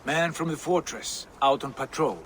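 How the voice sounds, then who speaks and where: An actor playing a coolly menacing Viking lord in a video game, speaking in a theatrical, deliberate, sardonic way. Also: male voice, fairly high pitch, fairly bright tone, slight background noise, lightly acted. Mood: hearty. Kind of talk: dramatic video game acting.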